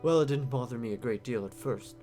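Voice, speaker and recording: A man's voice: mid-range voice, a little fast, mellow, lightly acted. Guy doing a cool scheming game character, guarded, casual but pushy, music playing behind him. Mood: measured, explanatory. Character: scheming male insider bargaining to escape, guarded and coolly casual. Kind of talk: visual novel character read-aloud.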